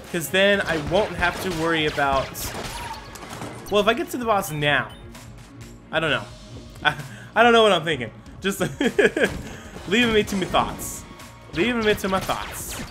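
A young man giving casual, excitable commentary while playing a video game, often exclaiming and stumbling over his words. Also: on the high side. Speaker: gaming YouTuber. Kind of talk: casual let's play commentary. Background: game music.

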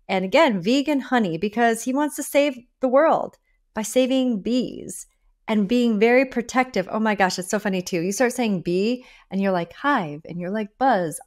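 A woman in her forties hosting a podcast interview from a home office over a video call, upbeat and enthusiastic with lively emphasis. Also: medium pitch. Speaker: podcast host. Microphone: video call with a desk microphone.

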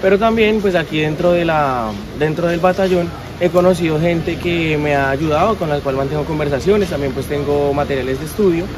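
A man's voice: fairly high pitch, fairly quick, hardly any pauses, crowd noise. A young male soldier talks about his service outdoors at a base, speaking hesitantly with many fillers. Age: young adult. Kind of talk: interview testimony.

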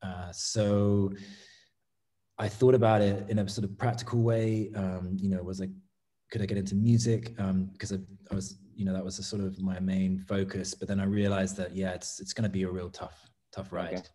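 A thoughtful Englishman in his thirties speaks in a low, warm voice, easing through his answers with pauses and restarts. The sound comes through a laptop video call from a small home studio. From England. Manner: relaxed, thoughtful, many ums and restarts. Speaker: guest artist. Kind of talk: casual interview chat. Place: home studio room, indoors. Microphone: laptop webcam video call.

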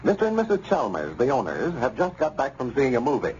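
A male announcer from old-time radio, with a middling pitch that swings in a lively way. The recording is dull, with faint noise underneath. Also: quick pace.

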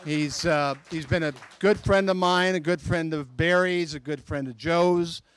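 A man's voice: on the high side, fairly even intonation, measured pace, a little hiss or hum. A middle-aged man emceeing a club dinner meeting, introducing speakers in a friendly, chatty way on a handheld mic. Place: outdoor restaurant patio at night.

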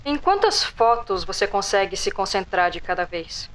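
A composed female doctor in an audio drama, played by a woman, with a mid-pitched voice. She questions her captive subject in a measured, calmly probing manner. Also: slight background noise. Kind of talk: audio drama interview acting.

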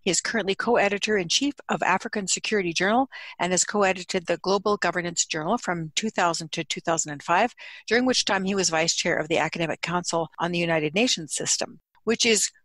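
A woman's voice: fairly low pitch, a little fast, a bit bright, no echo. A woman hosting a leadership podcast, introducing her guest admiringly in a conversational way. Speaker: podcast host.

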